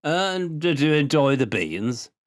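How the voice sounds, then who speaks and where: A man's voice: fairly high pitch, very animated, slow pace, no echo, clean studio sound, voice pushed up. A man voicing an old village bean farmer in a storybook, with a drawling, hesitant, folksy manner. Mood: curious, pleased. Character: old village bean farmer, gruff, then proudly pleased. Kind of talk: storybook character voice.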